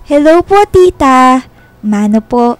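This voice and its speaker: A woman's voice: sits high, lively intonation, slow, smooth, dry recording. A young woman playing a sweet, affectionate girlfriend in a radio drama, tender, respectful and playfully teasing. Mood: cheerful, caring. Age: young adult. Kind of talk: Filipino radio drama acting.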